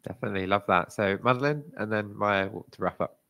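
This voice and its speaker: A man's voice: fairly low pitch, brisk, vocal fry, bright, forceful tone, slight background noise. A man hosting a live careers webinar, speaking in a friendly way, hedging and repeating words. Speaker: webinar host. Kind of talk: hosting a live online session.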